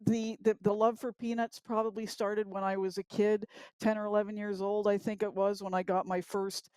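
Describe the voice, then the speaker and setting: A woman speaks as a talk show guest, casually sharing personal stories. Her delivery flows on at length with a fairly flat melody, and the recording is dry and close. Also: medium pitch, moderate pace, clear, resonant tone, no room sound, rough recording.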